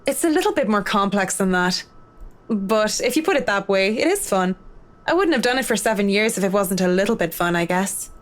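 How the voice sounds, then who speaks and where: A woman's voice: medium pitch, lively intonation, fairly quick, close to an everyday voice. A woman voicing a blunt, self-assured interior designer in a game, speaking in a dry, lecturing way. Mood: cool. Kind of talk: video game voice acting.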